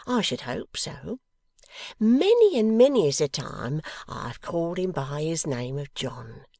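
A woman voicing a warm-hearted, delighted old working-class wife in a classic novel reading, with folksy, exclamatory speech. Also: deep voice, big pitch swings, unhurried, no echo, studio quality, exaggerated voice. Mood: playful, excited.

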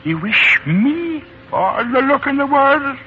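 An actor playing a wily, excitable little old leprechaun with an Irish accent and a wheedling, theatrical manner in a 1940s radio play. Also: male voice, very high voice, slow, slight background noise, heavily acted. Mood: grateful, wheedling. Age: older. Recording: dull lo-fi 1940s radio recording.

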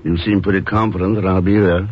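A man plays a suave secret agent in a vintage radio spy drama. His voice is low and he delivers lines with dry, unflappable irony. The recording is somewhat muffled. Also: steady tone, quick pace, slight background noise, natural voice. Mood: wry, guarded. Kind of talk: 1950s radio drama acting.

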